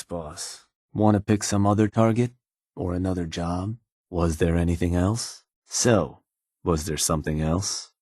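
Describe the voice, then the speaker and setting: A raider gang's fixer in a video game, low-voiced and rough with husk. He talks like a wry, streetwise middleman briefing his boss, easygoing but all business. The sound is close and dry. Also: a little mellow, no room sound. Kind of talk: in-game character dialogue, conversational delivery.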